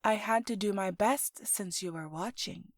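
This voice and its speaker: A woman voicing a caring older sister, a young dancer, gentle, reassuring and affectionate, in an audio storybook. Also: mid-range voice, measured pace, no room sound, studio quality, lightly acted.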